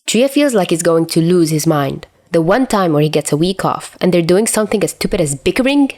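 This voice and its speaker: A woman reading fan fiction aloud as an expressive scripted story narrator. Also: fairly low pitch, a little fast. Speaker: podfic narrator.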